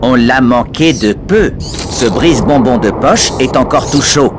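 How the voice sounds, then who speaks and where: A man voicing a calm, polite little robot. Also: mid-range voice, unhurried, music in the background, natural voice. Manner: calm, formal, precise. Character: small polite male robot sidekick. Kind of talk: video game dub.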